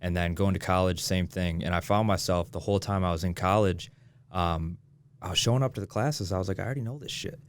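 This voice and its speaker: A man's voice: low-pitched, quick pace, clear, resonant tone. A young man from Michigan, a real estate agent, chatting casually as a podcast guest and swearing now and then. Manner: laid-back, swears casually. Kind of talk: casual interview chat.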